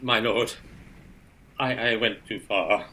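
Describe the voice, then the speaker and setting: A man playing a hot-headed, patriotic English priest in a radio drama, with an earnest, excitable, formal manner. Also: on the low side, slow pace, low-quality recording. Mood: chastened, earnest. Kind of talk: stage-style radio drama acting.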